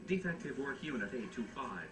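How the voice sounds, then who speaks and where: An actor voicing a cold, officious robot overseer in a cartoon game, mock-polite and menacing. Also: male voice, mid-range voice, big pitch swings, brisk, natural voice. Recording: roomy, distant game audio.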